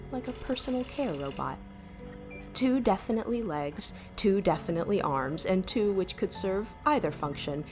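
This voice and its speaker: A woman playing a wry, motherly starship AI in an audio drama, speaking with precise, formal diction over music. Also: lowish voice. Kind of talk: scripted audio drama narration. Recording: music behind.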